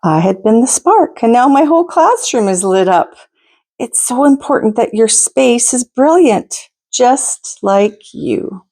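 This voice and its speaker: A woman reading a picture book aloud to children as a storytime host, in a deliberate, expressive manner with asides to her listeners. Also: on the high side. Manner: deliberate, expressive, pauses to ask listeners questions.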